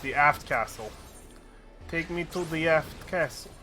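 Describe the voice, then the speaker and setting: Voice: medium pitch, unhurried, sharp tone, soft background music. A streamer chatting casually while playing a game, swearing freely and laughing at their own mistakes. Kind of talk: casual gaming livestream chat.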